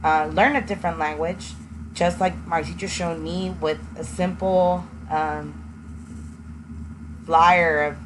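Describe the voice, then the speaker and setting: A young woman who grew up in Texas, a native Spanish speaker, earnestly telling her own story as an education student and pausing often with ums. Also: on the low side, fairly even intonation. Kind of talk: personal testimony. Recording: noisy with passing vehicle sounds.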